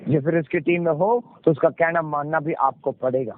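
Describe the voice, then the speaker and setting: A man's voice: a little high, fairly even intonation, moderate pace. A male pastor with a Punjabi accent preaching in an exhorting way, repeating phrases and asking questions that he answers himself. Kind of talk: sermon with rhetorical questions, call and response. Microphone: conference call. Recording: muffled, noisy conference call audio.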